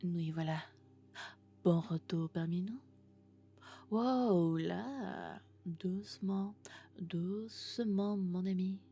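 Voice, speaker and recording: A low, soft female robot companion, played by a woman, doting on a human. The delivery is gentle and reassuring, slipping between quiet speech and whispers in an intimate ASMR roleplay, with music underneath. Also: very slow, pauses often. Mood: gentle, cheerful.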